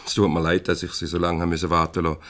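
An actor with a Swiss accent playing a courteous, formal company director in a radio comedy. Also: male voice, sits low, flat delivery, very fast, a bit bright.

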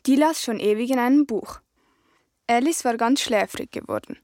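Woman narrating a storybook, fairly high voice, reading from a script. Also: moderate pace, a little mellow, no echo, studio quality.

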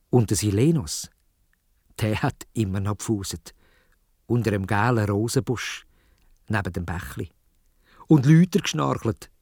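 A man with a Swiss German accent telling a children's story in dialect, expressive and playful. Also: on the low side, dry recording, clean studio sound.

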